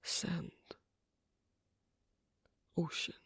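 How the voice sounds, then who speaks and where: A performer playing a shy, bookish, isolated swan king in intimate ASMR roleplay, speaking halting English with a foreign accent in a creaky half-whisper. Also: bright, forceful tone. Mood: shy wonder. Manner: halting, hesitant, clipped non-native phrasing.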